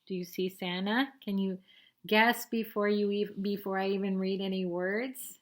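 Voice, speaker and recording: A woman reading a picture book aloud to children like a teacher, expressively, pausing for simple explanations and questions. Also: mid-range voice, level tone. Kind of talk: read-aloud storytelling with asides and questions to young listeners.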